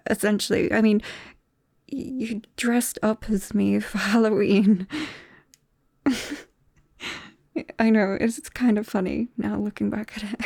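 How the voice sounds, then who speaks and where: Woman acting tender nervous female vampire, British accent, slow halting delivery, gravelly fry, intimate ASMR roleplay. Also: medium pitch, mellow, dry recording, studio quality. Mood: disbelieving, tentative. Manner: hesitant, halting, breaking into whispers. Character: nervous, tender female vampire best friend hiding a secret. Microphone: close microphone, dry.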